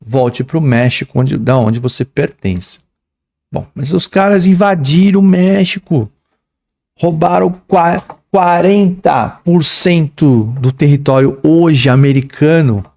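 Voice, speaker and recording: A young man with a São Paulo accent talks students through an English exam in a loose, slangy way. His voice is gravelly and mellow. He reads English phrases aloud, then translates and jokes about them. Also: on the high side, takes it slowly. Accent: São Paulo Portuguese. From São Paulo, Brazil. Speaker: English teacher. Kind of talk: exam-prep lesson.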